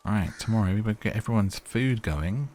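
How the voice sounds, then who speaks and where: Low-voiced man chatting over gameplay. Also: animated, normal speed, over music. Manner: chatty, musing aloud. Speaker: gaming YouTuber. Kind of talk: casual gameplay commentary.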